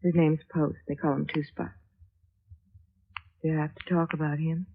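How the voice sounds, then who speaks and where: An actress playing a wealthy, high-strung young woman in an emotional, theatrical 1940s radio drama style. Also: level tone, moderate pace. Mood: pleading, intimate. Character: wealthy, anxious, high-strung young heiress, pleading and imperious. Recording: dull 1940s radio recording.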